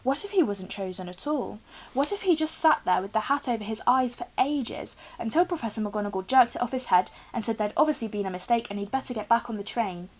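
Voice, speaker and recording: A young woman reads a storybook aloud in an expressive narrating style. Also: fairly high pitch, brisk, poor audio. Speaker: reader, teacher. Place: small room indoors with bookshelves.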